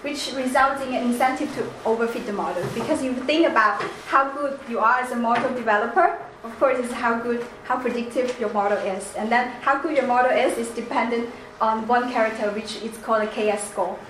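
A woman with a Chinese accent giving a seminar presentation, halting with many restarts and fillers. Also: fairly high pitch. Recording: echoey room, faint background noise.

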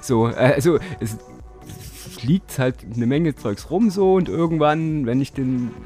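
A man's voice: medium pitch, animated, unhurried, mellow. A man chats loosely in his living room, hesitating and filling gaps as he thinks. He laughs easily between thoughts. Faint music plays in the background. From Dresden, Germany. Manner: hesitant, many fillers, laughs often. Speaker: interview guest, modular synth hobbyist. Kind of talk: casual interview chat.

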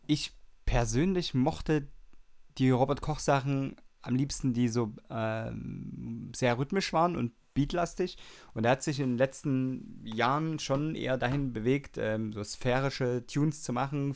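A man's voice: mid-range voice, measured pace, gravelly, darkish tone. A man with a Saxon German accent hosting a music radio show, chatting loosely with many fillers. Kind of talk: casual radio presenting.

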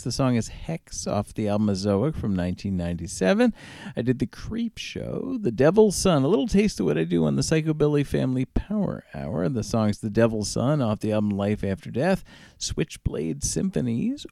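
Gravelly, mellow male radio DJ. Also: medium pitch, dry recording, studio quality. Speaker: radio DJ, show host. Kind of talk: music radio show presenting, back-announcing songs.

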